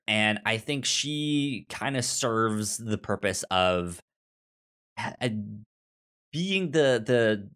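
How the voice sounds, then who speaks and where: A man's voice: medium pitch, fairly bright tone. A young man co-hosting a casual movie discussion, stammering and often repeating sounds and words as he talks. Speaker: podcast co-host.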